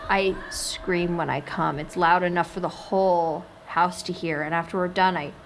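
An actress playing a nervous young American woman confiding something intimate in a halting, self-conscious, naturalistic audio drama monologue. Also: on the low side, slight background noise. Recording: music under the voice. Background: music.

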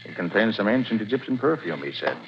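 An actor playing a confident private detective in a 1940s radio drama, talking in a brisk, assured way. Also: male voice, on the low side, very animated, vocal fry. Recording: dull lo-fi 1940s radio recording with loud hiss.

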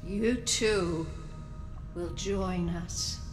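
An eerie hive voice speaks through a possessed woman, each word stretched out with dreadful slowness. Her high tone floats cold and distant over music, like a chant from a radio horror play. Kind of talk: radio drama acting.

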